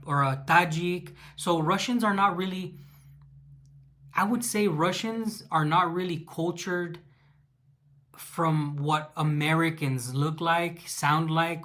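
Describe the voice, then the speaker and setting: A man's voice: a little high, fairly bright tone. A man with a Mexican accent hosting a casual live Q&A, reading viewer questions aloud and answering them with fillers and restarts.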